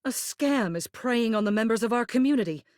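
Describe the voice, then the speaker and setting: A woman's voice: on the high side, animated, fairly quick, a little mellow, dry recording, studio quality, close to an everyday voice. A woman voicing a dignified, protective mayor in a video game, with formal, genteel diction and an authoritative manner. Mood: resolute, official. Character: dignified, protective woman mayor, an old living household object. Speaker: voice actor in a dating sim game. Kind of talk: polished video game character acting.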